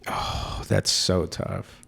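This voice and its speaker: A young man in his twenties, co-hosting a podcast in a relaxed, rambling chat full of fillers and restarts. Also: extremely slow. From Mexican heritage. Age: 20s. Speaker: podcast co-host. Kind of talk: casual chat.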